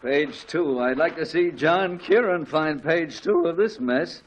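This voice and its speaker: A man's voice: fairly high pitch, lively intonation, measured pace, slight background noise. An actor playing a middle-aged family father, dry, blustery and exasperated, in a 1940s radio comedy.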